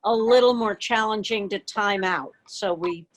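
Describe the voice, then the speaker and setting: A woman contributes to an informal club meeting. She speaks over a video call at a middling pitch. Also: normal speed, low-quality recording. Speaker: club member.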